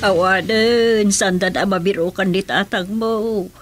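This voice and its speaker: A woman's voice: medium pitch, steady tone, unhurried, soft background music, lightly acted. A woman with an Ilocano accent playing a worried older wife and mother in a radio drama, plaintive and sorrowful. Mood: worried grief.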